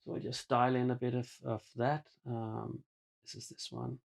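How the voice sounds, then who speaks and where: A man walking through a synthesizer patch in a home studio, talking in a relaxed, halting way with frequent ums and uhs. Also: medium pitch, vocal fry. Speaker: synthesizer demonstrator. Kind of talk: hands-on patch explanation. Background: synthesizer music.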